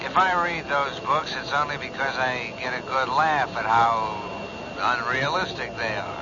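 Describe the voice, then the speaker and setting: A man playing a gruff, irritable police captain with dry sarcasm in a 1970s radio drama. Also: mid-range voice, measured pace, lightly acted. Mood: grumpy, sarcastic. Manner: gruff, curt, dryly sarcastic. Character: gruff, skeptical, irritable male police captain, older boss. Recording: noisy, dull 1970s radio recording.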